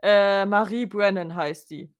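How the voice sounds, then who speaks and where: A woman's voice: mid-range voice, a little slow, clean tone, lo-fi sound. A woman in an informal podcast conversation, chatting casually about an audio drama. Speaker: podcast co-host.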